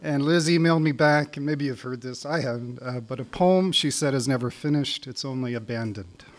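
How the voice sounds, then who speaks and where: A middle-aged Canadian man performing as a spoken word poet on stage, with a wry, deliberate delivery. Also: medium pitch. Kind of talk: live poetry recital with casual lead-in.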